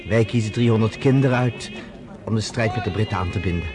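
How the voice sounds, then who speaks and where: A man's voice: fairly low pitch, fast, close to an everyday voice. An actor playing an earnest, polite man explaining plans in a radio drama.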